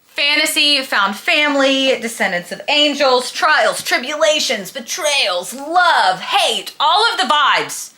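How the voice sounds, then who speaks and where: A woman's voice: high-pitched, animated, slow, bright, forceful tone. A young adult woman chatting casually and emphatically to camera as a book vlogger, with a gushing manner. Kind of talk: casual chat about books to camera.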